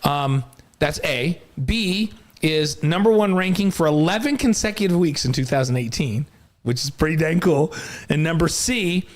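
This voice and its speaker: A man's voice: on the high side, lively intonation, normal speed. A man co-hosting a casual sports show, talking in an enthusiastic, complimentary way.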